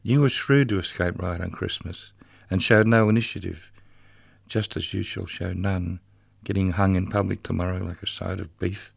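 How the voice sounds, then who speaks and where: A condemned man in his cell, bitter and haunted, his voice low and gravelly, as a poet reads him. He mocks and taunts in a rolling, rhythmic cadence, close and dry. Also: steady tone, normal speed, dry recording, exaggerated voice. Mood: taunting. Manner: rhythmic, sardonic recitation. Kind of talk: poetry reading.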